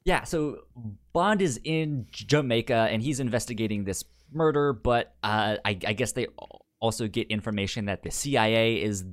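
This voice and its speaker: A man co-hosting a casual film discussion, speaking haltingly and often repeating syllables and words. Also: medium pitch. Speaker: podcast co-host.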